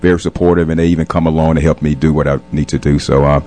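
A middle-aged man with a deep, low voice speaks as a guest in a casual interview. His manner is earnest and agreeable throughout. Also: little pitch movement, very fast, warm tone, a little hiss or hum. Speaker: interview guest, police captain.

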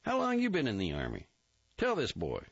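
A gruff, impatient army captain, voiced by a male reader, raised in pitch and pushed loud. He talks in a bullying, sarcastic way. The recording is dull, with a dry, close sound. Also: huge pitch swings, normal speed, no room sound, exaggerated voice.

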